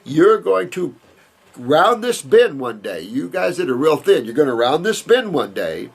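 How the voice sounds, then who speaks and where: A man's voice: on the high side, sing-song, a little slow, gravelly, breathy edge. An older man hosting a health Q&A, reading viewer questions and answering them in a rambling way, with many fillers and repeated words.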